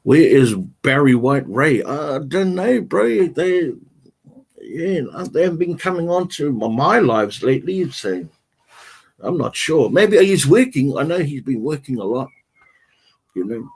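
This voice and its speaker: Slow-talking New man hosting. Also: on the high side, big pitch swings, vocal fry, darkish tone, husky. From New Zealand. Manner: answers viewer comments one by one, halting and unhurried. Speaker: livestream host. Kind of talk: casual livestream chat.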